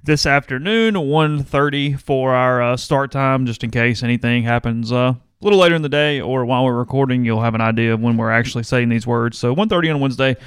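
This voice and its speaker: A man co-hosting a podcast in a studio, easygoing and slightly hoarse, mixing casual talk with ad reads. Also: medium pitch, a little fast, few pauses, no echo. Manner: relaxed, easygoing. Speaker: podcast co-host. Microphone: studio microphone.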